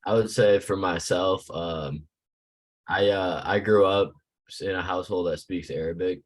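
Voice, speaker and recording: A young man, a student, sharing his thoughts in an online class discussion, low-key and halting as he searches for words. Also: fairly even intonation.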